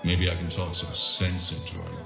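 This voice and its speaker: A man's voice: sits low, monotone, moderate pace, vocal fry, reverberant, music in the background. A man voicing a smug, urbane villainous tycoon who talks in a suave, condescending way in an audio comic drama.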